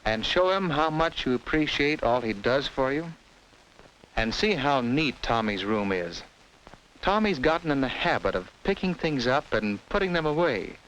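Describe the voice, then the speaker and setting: A man's voice: medium pitch, a bit bright, a little hiss or hum, poor audio. An American man narrating a 1950s educational film in simple, instructive storytelling addressed to children, on a vintage film soundtrack.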